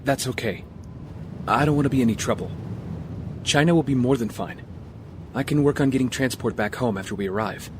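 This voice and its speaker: An actor playing a weary, guarded castaway with a secret life, speaking in a measured, understated way. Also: male voice, mid-range voice, background noise, natural voice. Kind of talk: audio drama acting.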